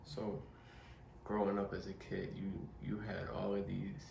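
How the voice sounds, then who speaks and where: A man's voice: deep voice, completely flat delivery, measured pace, creaky, darkish tone, a little hiss or hum. A man hosting an interview, asking questions and summing up the guest's answers back to him. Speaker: interviewer host. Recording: roomy, distant mic with faint ambience.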